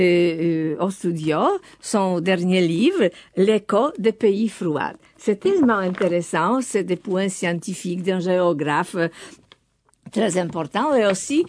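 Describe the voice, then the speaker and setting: A woman's voice: medium pitch, no room sound. Older woman, careful tinged French. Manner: measured, careful, occasional hesitations. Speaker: radio studio guest. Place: studio.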